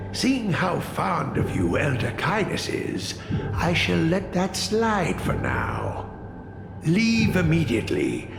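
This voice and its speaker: A man's voice: a little high, creaky, exaggerated voice. A man playing a haughty, zealous priest in a fantasy game, speaking formally with pompous disdain for heretics. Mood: cold. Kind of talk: fantasy video game acting. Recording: echoey hall with music behind.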